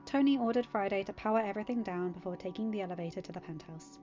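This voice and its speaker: A woman's voice: mid-range voice, fast, dark tone, lo-fi sound. A woman reading scripted story narration as an audiobook narrator. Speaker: podfic narrator. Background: music.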